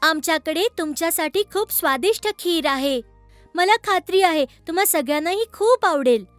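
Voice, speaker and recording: A woman playing an earnest, kindly younger sister with expressive delivery in a children's cartoon. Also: very high-pitched, moderate pace, dry recording, soft background music.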